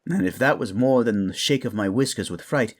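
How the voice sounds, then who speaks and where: Fantasy audio drama: male actor as avuncular animal craftsman, married family type, folksy, very animated intonation, mid-pitched. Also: brisk, natural voice. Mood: grave, thoughtful. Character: kindly whiskered, tailed animal craftsman, married father.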